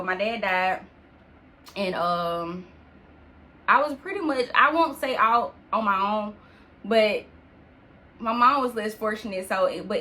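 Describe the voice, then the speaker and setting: Bright chatty woman in her twenties. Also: medium pitch. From New York. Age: 20s. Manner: chatty, addresses listeners as y'all and sis. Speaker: podcast host. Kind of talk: personal storytelling podcast.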